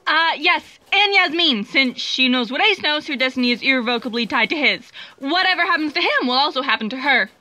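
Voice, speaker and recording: A woman's voice: sits high, lively intonation, fairly quick, hardly any pauses, sharp tone. A woman playing a fussy, officious female assistant in a comic sci-fi audio drama.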